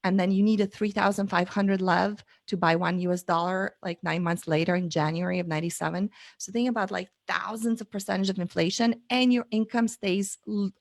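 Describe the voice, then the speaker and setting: A woman's voice: mid-range voice, creaky, no echo. A woman, telling an earnest story as an interview guest over a video call, with many fillers. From Bulgaria. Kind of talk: casual interview chat.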